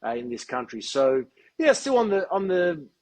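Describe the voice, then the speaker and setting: A man being interviewed as a guest, speaking in a measured, articulate and deliberate way. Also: fairly high pitch, big pitch swings. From Australia. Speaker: guest, football TV presenter. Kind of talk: podcast interview.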